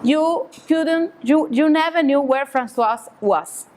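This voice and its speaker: A woman's voice: sits high, slow pace, darkish tone. A woman from Cuba, speaking at a seminar in hesitant English full of fillers and restarts. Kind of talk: halting public remarks. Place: conference hall.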